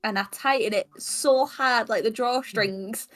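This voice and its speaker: A woman's voice: fairly high pitch, measured pace, soft background music. A woman playing a tabletop game, speaking guardedly in character and narrating her actions. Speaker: tabletop player.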